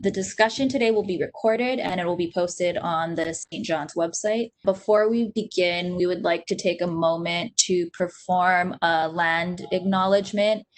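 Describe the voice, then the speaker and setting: A woman's voice: fairly low pitch, normal speed, few pauses. A young woman from Hawaii opening an online panel as host, speaking earnestly and steadily with frequent ums, recorded on a laptop webcam during a video call. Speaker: event host. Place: small indoor room at home.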